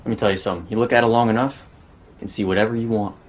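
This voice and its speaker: An actor playing a jaded, irritable police detective in a fan-made drama dialogue. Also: male voice, on the low side, fairly even intonation, very fast, close to an everyday voice. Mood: evasive.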